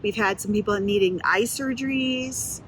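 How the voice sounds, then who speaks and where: Woman, mid-pitched voice, missionary interviewee, casual explanatory delivery, slight ambient noise. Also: moderate pace, a bit bright, poor audio. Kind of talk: casual promo video interview. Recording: faint background ambience.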